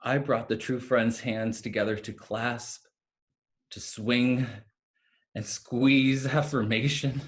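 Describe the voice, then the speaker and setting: An actor playing a tender, wistful fallen fairy of healing in a play reading, speaking lyrically over a video call. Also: male voice, mid-range voice, steady tone, natural voice. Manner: slow, lyrical, deliberate delivery. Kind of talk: staged play reading, dramatic monologue. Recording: remote video-call recording.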